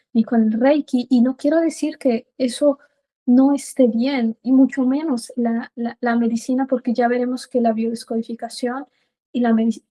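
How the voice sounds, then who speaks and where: A Mexican woman answering as an interview guest, earnest and halting, often repeating words as she goes. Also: on the high side, dark tone. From Puebla, Mexico. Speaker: podcast guest, biodecoding practitioner.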